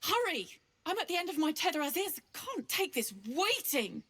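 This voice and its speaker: An actress playing a desperate, protective mother in a video game, forceful and impatient. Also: extremely high pitch, sing-song, moderate pace, heavily acted. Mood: impatient, furious.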